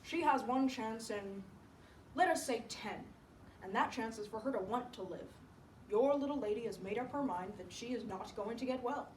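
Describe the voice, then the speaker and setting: Gruff older male doctor, played by a woman: blunt, pompous, measured stage storytelling; echoey, off-mic. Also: on the high side, lightly acted. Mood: blunt, grave. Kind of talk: solo dramatic storytelling performance. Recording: echoey room, distant mic, background noise.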